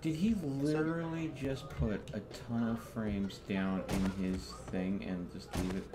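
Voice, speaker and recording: A man's voice: lowish voice, steady tone, a little slow. A man hosting a live gaming stream, chatting casually as he plays. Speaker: video game streamer, host. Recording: clearly noisy home stream recording.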